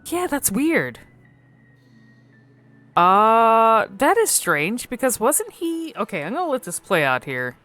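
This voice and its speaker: A woman giving casual, unscripted game commentary, thinking aloud haltingly and swearing freely. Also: a little high, wildly swooping pitch, a bit bright, dry recording, soft background music.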